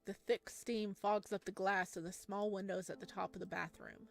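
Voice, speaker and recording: A woman's voice: medium pitch, fairly even intonation, creaky, close to an everyday voice. A woman reading a visual novel as a solemn, suspenseful narrator of a creepy horror story. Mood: uneasy, suspenseful. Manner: slow, hushed, suspenseful. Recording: music behind the voice.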